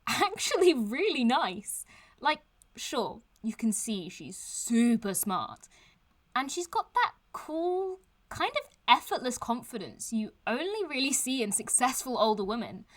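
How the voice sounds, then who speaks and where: Irreverent, strong-minded young woman, audio drama. Chatty, casual, teasing; higher-pitched, animated delivery. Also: heavily acted. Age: young adult. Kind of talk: audio drama acting, vlog-like casual chat.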